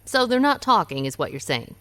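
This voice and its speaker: A woman playing a shrewd restaurant owner who plays amateur sleuth in a radio drama, wry and quick-witted. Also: mid-range voice, sing-song, pushed and bright, no room sound. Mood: thoughtful.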